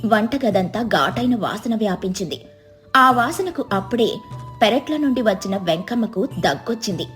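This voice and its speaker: A woman's voice: mid-range voice, expressive, moderate pace, faint music behind. A woman narrating a scripted moral story as a storyteller.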